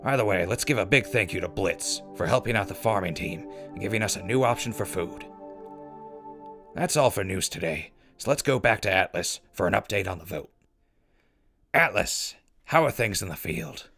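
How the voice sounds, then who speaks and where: A man plays a laid-back wasteland DJ with a low voice in an audio drama. He reads news and ads in a wry, grumbling, informal way over clearly audible music. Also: animated, moderate pace. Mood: wry, easygoing. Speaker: radio host and news reader. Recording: music behind voice.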